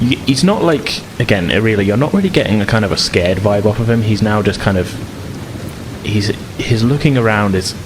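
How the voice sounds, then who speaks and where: A man running a tabletop roleplaying game as game master, improvising narration and haltingly repeating words as he thinks. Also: on the low side, animated. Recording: faint rain ambience noise.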